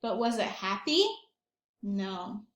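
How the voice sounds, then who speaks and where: A woman's voice: mid-range voice, lively intonation, takes it slowly, fairly bright tone, reverberant. A woman reading a picture book aloud to young children as a teacher would, expressively, with questions and asides to her listeners.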